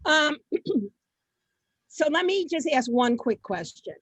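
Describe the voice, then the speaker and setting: A woman's voice: high-pitched, sing-song, slow, a bit bright, no echo. A woman informally hosting a live online event, flustered and often repeating her phrases. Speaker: host. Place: indoors, on a video call. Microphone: video call.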